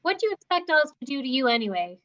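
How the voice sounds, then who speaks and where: A woman's voice: high-pitched, sing-song, fast talker, a bit bright, muffled recording. A woman voicing a sarcastic, exasperated young female patient with a dry, dismissive manner.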